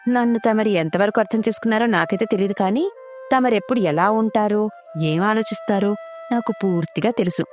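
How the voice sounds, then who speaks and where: A woman's voice: medium pitch, a little fast, a little mellow, lightly acted. Woman as a knowing, teasing sparrow wife over music. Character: knowing, sardonic but devoted sparrow wife.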